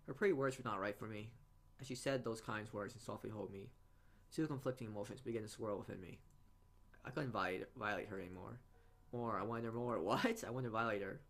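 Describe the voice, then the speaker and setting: A man's voice: fairly low pitch, a bit bright. A man reading visual novel narration aloud as a let's play host, stumbling and repeating words as he goes. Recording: game music behind the voice. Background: game music.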